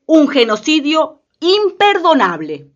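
A woman's voice: sits high, huge pitch swings, takes it slowly, muffled recording, exaggerated voice. A woman giving solemn, emphatic scripted narration for a radio play.

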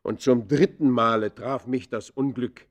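An actor playing an embittered outcast poacher in a German radio play, confessing in formal, declamatory phrases with dramatic swells and hushed falls. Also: male voice, mid-range voice, fairly even intonation, measured pace, lightly acted. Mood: desperate. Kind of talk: German radio play acting, literary monologue.

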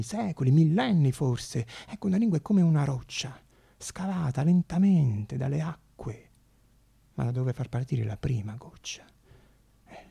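Narratore teatrale dalla voce roca e scura. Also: male voice, fairly high pitch, creaky, warm tone, husky. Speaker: actor-storyteller. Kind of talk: theatrical narration, dramatic monologue.

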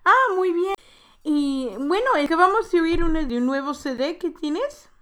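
A woman's voice: extremely high pitch, animated, unhurried, a bit bright. A woman hosting a casual radio interview, hesitant and fumbling a little as she phrases things. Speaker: radio host.

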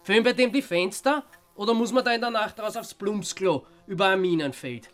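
A self-important Austrian grumbler in a radio drama. His voice sits fairly high for a man, and he complains with indignant sarcasm. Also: fairly quick, a bit bright. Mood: indignant, sarcastic.

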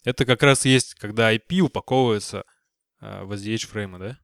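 A man's voice: fairly low pitch, brisk, gravelly, a bit bright, no echo, clean studio sound. A man hosting a casual technical discussion, asking questions in an informal, inquisitive way. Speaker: podcast host asking questions.

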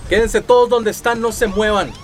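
An actor with a Costa Rican accent playing a bullying police officer barking orders in a radio drama. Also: male voice, high voice, steady tone, moderate pace, slight background noise, natural voice. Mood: aggressive.

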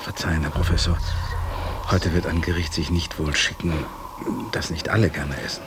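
A man playing a devoted attendant in a radio drama, narrating in a measured, formal and deferential manner. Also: low voice, steady tone, background noise, natural voice. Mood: deferential, gentle. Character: devoted, sober male attendant recounting his master's decline.

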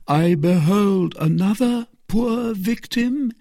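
A man voicing a kindly, anxious convent abbess who questions earnestly and hesitantly, in a raised husky voice. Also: high voice, slow pace, warm tone, voice pushed up, exaggerated voice. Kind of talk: audiobook character reading.

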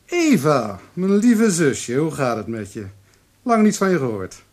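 An actor playing a weary, sardonic grown-up son in a Dutch radio play, talking casually with exasperation. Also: male voice, fairly high pitch, huge pitch swings, unhurried, a little mellow, exaggerated voice. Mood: wry.

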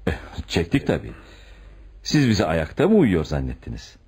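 An actor in a radio drama playing a blunt, suspicious police commissioner who questions curtly and sarcastically. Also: male voice, deep voice, huge pitch swings, normal speed, a little mellow, slightly rough, full character voice. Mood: sarcastic, accusing. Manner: curt, sarcastic, interrogating. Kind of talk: radio theatre acting.

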